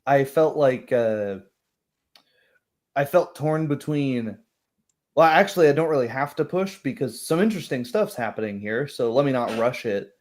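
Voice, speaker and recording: A man's voice: mid-range voice, lively intonation, moderate pace, darkish tone. A man chatting casually as a game master, thinking aloud and searching for his words. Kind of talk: casual chat.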